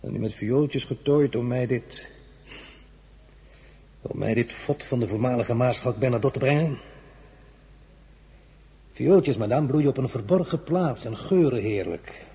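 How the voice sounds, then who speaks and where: An actor playing an imperious, coldly mocking emperor in a radio drama, speaking in a pointed, sarcastic, deliberate way. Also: male voice, medium pitch, mellow, slightly rough. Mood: mocking, accusing. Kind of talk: radio play acting.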